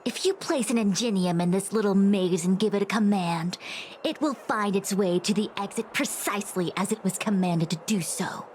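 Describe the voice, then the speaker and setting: A woman voicing a solemn, fatalistic master diviner in a game, speaking in a measured, lecturing way. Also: medium pitch, a little mellow, slight background noise, lightly acted. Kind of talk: scripted game voice acting. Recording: background music under the voice.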